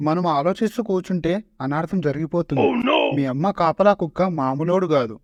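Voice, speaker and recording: A man's voice: fairly high pitch, fairly quick, no echo, close to an everyday voice. A man voicing royal figures in a comic cartoon parody, in dramatic dialogue with a muffled, dry recording. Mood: scheming, aggrieved.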